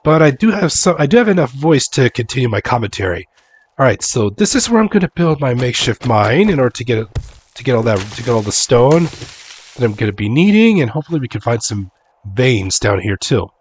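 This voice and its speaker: Hoarse man getting over a cold, casual game commentary, mid-pitched, stumbles and repeats words as he plays. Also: big pitch swings, brisk, a little hiss or hum. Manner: repeats words, talks himself through tasks.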